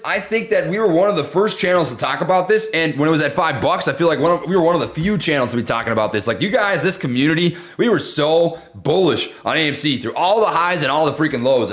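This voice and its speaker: A young man hosting a casual livestream, talking loudly and excitably while reading chat. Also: on the high side. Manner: loud, excitable, says he always yells. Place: home room.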